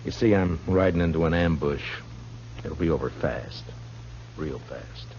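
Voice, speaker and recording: Laconic western lawman, deep voice, dry and deliberate, old radio with background hiss. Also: darkish tone. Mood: grim, resolute. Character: grim, laconic frontier marshal in the Old West. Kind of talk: 1950s radio western acting. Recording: muffled, noisy 1950s radio recording.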